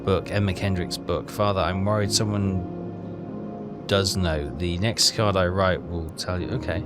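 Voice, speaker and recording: A man with a fairly low voice talks through a game over loud music. He is casual and hesitant, thinking aloud and reading bits of text as he plays. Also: steady tone, a little slow. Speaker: game walkthrough player.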